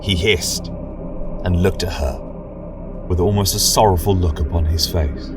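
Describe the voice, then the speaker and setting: A man narrates a fantasy tale with solemn drama. His voice is very low and his pace is unhurried, with music playing clearly underneath. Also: far from the mic, rough recording. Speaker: storyteller, podcast narrator.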